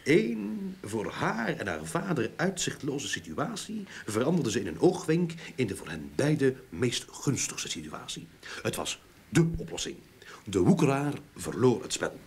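Male radio drama role: enthusiastic explainer-detective, raspy-edged tone, very varied intonation, didactic. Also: mid-range voice, big pitch swings, a little slow, heavily acted. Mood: triumphant explaining. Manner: eager, didactic, repeats words for emphasis. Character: talkative, enthusiastic male sleuth explaining his theories. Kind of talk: Dutch radio drama acting.

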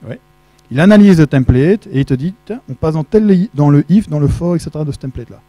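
A man's voice: on the high side, expressive, quick pace, mellow, no room sound. A middle-aged man speaking French at a tech conference, chatty and joking, quizzing the audience, on a handheld mic in a large auditorium. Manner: chatty, jokey, asks the audience questions. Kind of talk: casual tech conference talk. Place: large dark auditorium with projection screen. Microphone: handheld microphone.

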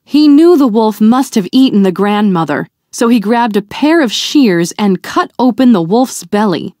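A woman reading a fairy tale aloud to young children in a deliberate, expressive storytelling manner. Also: fairly high pitch, no room sound, studio quality.

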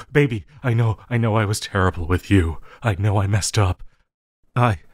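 A man playing an obsessive, lovesick supervillain in an audio roleplay, needy and melodramatic, with halting, stammering delivery. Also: medium pitch, darkish tone, husky, no echo, studio quality. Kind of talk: intimate audio roleplay acting.

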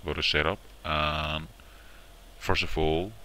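A man with a very low, fairly monotone voice walks through a software tutorial step by step. He repeats his instructions and checks in often. The sound is sharp, with faint background noise. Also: slow pace. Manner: repetitive, instructional, often checking with "all right" and "okay". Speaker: tutorial presenter. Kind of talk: informal screen-recorded software tutorial.

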